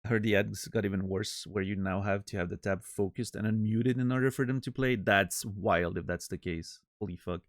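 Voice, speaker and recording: A man's voice: lowish voice, fairly even intonation, moderate pace, few pauses, no room sound, studio quality. A man streaming and chatting casually with his viewers, joking and rambling with many restarts. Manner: rambling, self-interrupting, joking. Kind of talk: casual chat with live chat.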